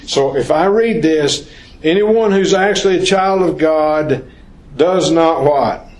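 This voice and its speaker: A man teaching an informal Bible study lesson, talking slowly and haltingly with restarts and questions to the class. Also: a little high, darkish tone. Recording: echoey room.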